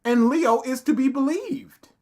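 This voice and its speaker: A young man co-hosting a casual reaction commentary, laughing often and breaking into loud outbursts. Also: very high-pitched, unhurried. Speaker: co-host reacting to a video.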